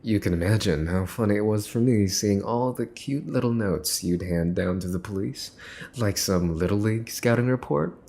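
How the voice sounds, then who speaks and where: A man's voice: lowish voice, darkish tone. A man playing a smug, menacing young mafia boss's son in an ASMR roleplay, mocking and teasing with theatrical deliberation. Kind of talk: ASMR roleplay, dramatic monologue to the listener.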